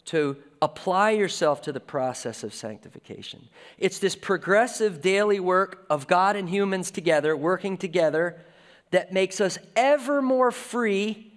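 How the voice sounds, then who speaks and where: Man, 40s, pastor, sermon, conversational preaching, slightly high voice, reverberant church hall. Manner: conversational preaching with lively gestures. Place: church stage with a lectern and guitars.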